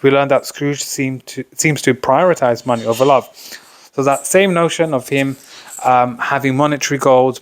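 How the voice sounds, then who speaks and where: A man's voice: medium pitch, dry recording. A man presenting an exam revision lesson as a tutor, talking informally through notes and posing questions that he then answers. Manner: explanatory, poses questions then answers them. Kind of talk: informal instructional commentary over revision notes.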